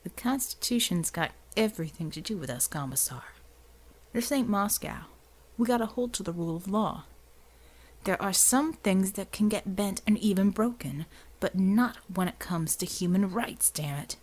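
A woman voicing a gruff, plain-spoken, tough American man in an audiobook story. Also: medium pitch, lively intonation, normal speed, close to an everyday voice. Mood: heated. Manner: colloquial, blunt, coolly menacing when persuading.

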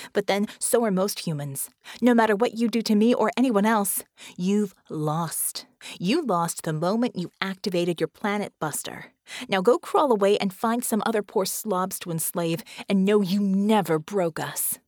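A woman's voice: medium pitch, animated, moderate pace, darkish tone, dry recording, studio quality, close to an everyday voice. A woman narrator voicing a cocky, defiant young woman warrior with a sassy delivery. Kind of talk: audiobook narration.

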